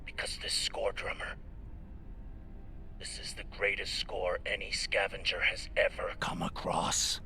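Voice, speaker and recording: Casting: self-serving spaceship captain, forceful and gravelly, gruff, swearing, pleading for his life. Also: deep voice, monotone, unhurried, slight background noise, exaggerated voice, rough recording. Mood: urgent bargaining. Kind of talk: dramatic video game acting.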